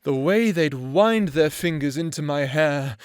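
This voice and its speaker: Man acting a slow, taunting, cruel vampire. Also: fairly high pitch, expressive, smooth, no echo, clean studio sound. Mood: sly, savoring. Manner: taunting, drawling, savoring words, mocking chuckles. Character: cruel taunting vampire ex, sadistic male manipulator. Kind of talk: dramatic audio roleplay acting.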